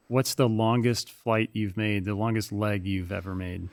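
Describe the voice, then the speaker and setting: A man hosting a podcast, asking a guest brisk, friendly interview questions. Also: fairly low pitch, fairly even intonation, no room sound. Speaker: podcast host. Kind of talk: interview questions, rapid-fire segment.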